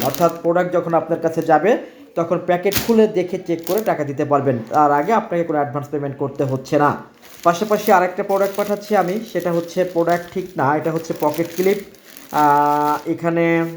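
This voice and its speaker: Higher-voiced Bangladeshi man, echoey room. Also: a little hiss or hum. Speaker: security equipment seller presenting order dispatches. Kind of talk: informal product shipment video addressed to customers.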